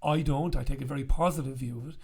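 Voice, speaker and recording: A man in his forties, croaky after an illness, chatting bluntly and casually to camera as a show host in a small room with a studio mic. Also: medium pitch, fairly even intonation, fast, a little mellow. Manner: chatty, blunt, swears freely. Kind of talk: casual direct-to-camera chat. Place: small bright room indoors. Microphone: studio mic on stand in front.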